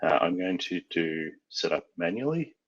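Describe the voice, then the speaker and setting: A man from Australia leads an IT training session, talking through each setup step in a relaxed, loose way with plenty of "um"s. His voice sits very low and a little husky. The audio sounds muffled and lo-fi. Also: moderate pace. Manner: casual, thinks aloud with fillers. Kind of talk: screen-share tech tutorial.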